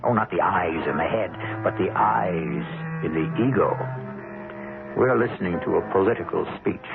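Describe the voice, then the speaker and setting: A man's voice: low-pitched, moderate pace, gravelly. A man hosting a radio drama, narrating theatrically in a ruminative, ominous manner with rhetorical questions. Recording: narrowband old radio recording. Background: music.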